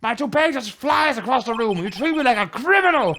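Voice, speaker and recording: A man playing an indignant, sneering male witness in a comic game reading, loud and taunting. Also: very high-pitched, lively intonation, a little fast, slight background noise, pitched above the performer's own voice, exaggerated voice. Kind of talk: comic amateur video game dramatic reading.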